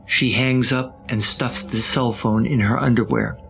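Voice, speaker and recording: A man with a creaky, gravelly voice at a medium pitch narrates a suspense audio drama. His delivery is deliberate with a wry edge, and music plays faintly behind him. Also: steady tone, fairly bright tone.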